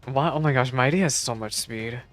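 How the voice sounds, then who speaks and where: A game streamer giving casual, expressive, exclamatory live commentary while playing. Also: medium pitch, brisk. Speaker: streamer reacting to a game playthrough. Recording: game music behind the voice. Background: video game music.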